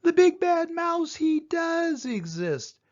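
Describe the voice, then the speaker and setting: A man's voice: very high-pitched, sing-song, slow pace, dull audio, voice pushed up, heavily acted. A man voicing a brave, curious young female monster child in a storybook, eager and exclaiming. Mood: awed, alarmed.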